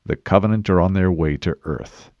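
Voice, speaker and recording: A man voicing a calm, stoic military commander in an audiobook, with a terse, measured and authoritative delivery. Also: deep voice, no echo, lo-fi sound, natural voice. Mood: businesslike. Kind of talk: audiobook character voice.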